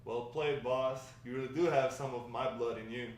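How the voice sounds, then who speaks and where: A man's voice: medium pitch, steady tone, normal speed, lightly acted. Man playing brash grandpa in an echoey room. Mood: fond, playful. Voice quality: slangy, swaggering talk. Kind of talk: amateur screenplay table read. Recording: echoey room, distant mic, faint noise.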